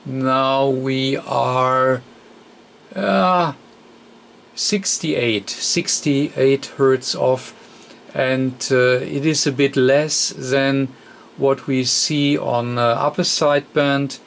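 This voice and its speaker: Slow, halting man giving repair tutorial. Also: medium pitch, fairly even intonation, creaky, a bit bright. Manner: halting, hesitant, frequent long hesitations. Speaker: radio repair technician demonstrating. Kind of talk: unscripted hands-on repair tutorial. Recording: faint background noise.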